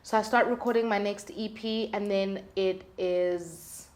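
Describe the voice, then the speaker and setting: A woman's voice: medium pitch, fairly even intonation, a little slow, darkish tone. A young woman speaking candidly and expressively in casual, slangy conversation as an interview guest. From South Africa. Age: young adult. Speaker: guest, singer-songwriter.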